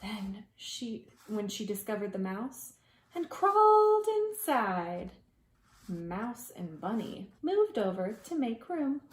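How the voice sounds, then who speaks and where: A woman preschool teacher reading a children's story aloud in a dramatic way, with hushed asides to young listeners. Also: medium pitch, big pitch swings, slow, reverberant.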